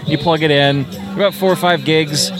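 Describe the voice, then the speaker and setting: A slightly high-voiced man chats at an outdoor convention pavilion, rambling on with plenty of "like" and easy laughs. Music plays clearly behind him. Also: steady tone, moderate pace, a crowd in the background, rough recording. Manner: rambling, chatty, laughs often. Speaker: guest vendor. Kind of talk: casual chat.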